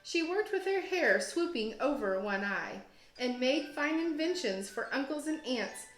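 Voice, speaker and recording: A woman teacher reading a children's storybook aloud with expressive, deliberate storytelling phrasing. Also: high voice. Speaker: teacher, guest read-aloud host. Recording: roomy echo, music behind. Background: storybook app music.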